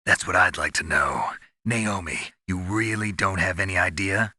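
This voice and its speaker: A man playing a gruff, hard-bitten covert soldier in a video game, gravelly and terse, coldly pragmatic. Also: low voice, moderate pace, bright, forceful tone, no room sound, full character voice. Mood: wary, puzzled. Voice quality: gravelly, husky. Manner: terse, guarded.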